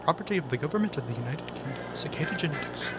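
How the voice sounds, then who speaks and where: A man with a fairly high voice gives casual, chatty reaction commentary. Music plays clearly behind him as he talks in the loose style of a video host. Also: animated, racing.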